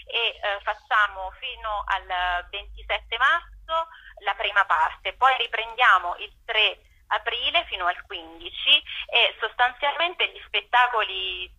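A woman's voice: medium pitch, lively intonation, takes it slowly, bright, forceful tone, breathy edge, low-quality recording. A woman giving explanatory interview answers over the telephone, hesitating as she searches for words. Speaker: festival artistic director, interview guest.

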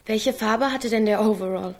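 An actress playing a stubborn, teasing teenage daughter in a radio drama, speaking in a blunt, laconic way. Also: female voice, mid-range voice, normal speed, lightly acted. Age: young adult. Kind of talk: naturalistic radio drama acting.

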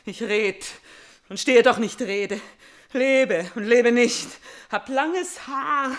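An actress playing a vengeful, tormented young princess in a classical radio tragedy, declamatory and intense, swinging between whispers and outcries. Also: female voice, a little high, slow pace. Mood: bitter, defiant. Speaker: radio drama actor. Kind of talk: classical tragic radio play acting.

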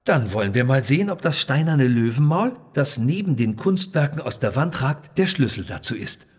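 A man's voice: medium pitch, big pitch swings, moderate pace, breathy edge, dry recording, studio quality. A man voicing an anxious, questioning scholarly doctor, a male sidekick in a children's adventure story. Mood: pondering.

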